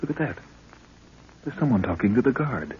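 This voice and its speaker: Earnest young adventurer, deep-voiced. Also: male voice, big pitch swings, moderate pace. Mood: amazed, uneasy. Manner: deferential, earnest, questioning. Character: earnest, deferential young male adventurer and sidekick. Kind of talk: 1930s radio drama acting. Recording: dull, hissy 1930s radio recording.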